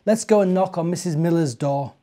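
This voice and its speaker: A man reads as an excitable little British boy from a storybook. The delivery is eager and exclamatory. The pitch lifts above plain narration. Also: fairly quick, lightly acted. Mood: excited, eager.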